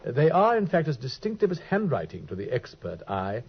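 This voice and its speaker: An actor playing a brilliant, dryly teasing detective in a vintage radio drama, speaking with crisp, precise wit. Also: male voice, medium pitch, wildly swooping pitch, a little fast, exaggerated voice. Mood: wry. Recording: dull old radio recording.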